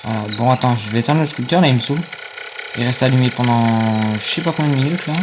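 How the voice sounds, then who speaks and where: Rambling young gamer. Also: male voice, mid-range voice, fast, background noise. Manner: rambling, easily annoyed, talks to viewers. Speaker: gaming video creator. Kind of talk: casual gameplay commentary. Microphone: phone.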